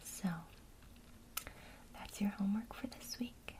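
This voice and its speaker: A woman whispering an ASMR witchcraft lesson, gently encouraging a listener she addresses as her student. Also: medium pitch, little pitch movement. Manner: speaks to the listener as a student, pausing for imagined replies.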